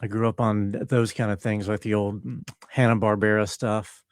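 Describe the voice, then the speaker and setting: A middle-aged man chatting casually as an interview guest, thoughtful and halting as he searches for words. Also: on the low side, little pitch movement, no echo, clean studio sound. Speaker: interview guest, composer and audio engineer. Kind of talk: casual reflective chat.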